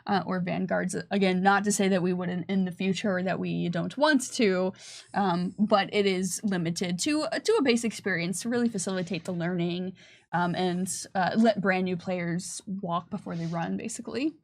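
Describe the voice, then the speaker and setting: Casual woman presenter with a creaky edge. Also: on the low side, moderate pace. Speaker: game developer presenting on a livestream. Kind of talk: casual chat.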